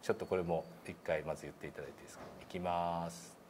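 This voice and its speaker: A man's voice: low-pitched, steady tone, very fast, a little mellow. A middle-aged man giving casual, polite pronunciation instruction in a meeting room, speaking hesitantly and demonstrating sounds. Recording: clear background room noise.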